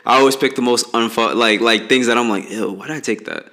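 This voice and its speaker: Late-twenties male co-host, easygoing and jokey, mid-pitched voice, casual podcast chat. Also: quick pace, bright, forceful tone. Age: late 20s. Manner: laid-back, joking, slangy advice.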